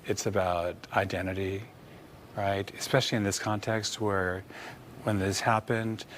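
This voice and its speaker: Male author as interview guest, low-voiced, earnest and measured, some background noise. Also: little pitch movement, fairly bright tone. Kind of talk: explanatory interview talk.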